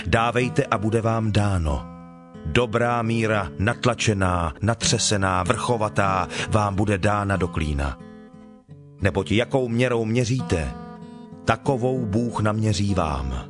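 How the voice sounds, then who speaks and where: Guy playing a solemn kind holy teacher in a bible audio drama, lowish measured preaching, plucked guitar music behind. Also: lightly acted.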